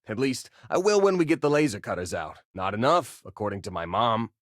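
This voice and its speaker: Game companion: easygoing young male miner, joking, slangy, animated delivery, dry recording. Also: fairly low pitch, a little fast, dry recording. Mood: laid-back. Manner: casual, wisecracking, drawling slang. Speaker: voice actor for a video game companion. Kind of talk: video game voice lines, conversational.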